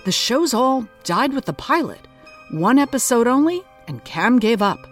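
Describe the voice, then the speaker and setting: An actress playing a thoughtful investigator who hosts and interviews in a documentary style, questioning and measured. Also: female voice, on the high side, big pitch swings, soft background music. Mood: curious, reflective. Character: inquisitive, measured investigator of obscure culture, interviewer. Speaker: host and interviewer.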